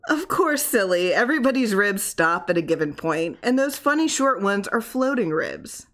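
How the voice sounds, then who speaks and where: A woman's voice: mid-range voice, big pitch swings, moderate pace, fairly bright tone. A woman voicing a playful, affectionate wife in a story, light and teasing in manner.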